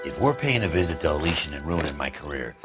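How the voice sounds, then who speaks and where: A middle-aged American detective, with a rumbling, very low voice. He is blunt and sardonic, with swinging intonation, in a crime video game with music behind him. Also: sing-song, fast. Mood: weary, matter-of-fact. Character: gruff, cynical middle-aged male police detective.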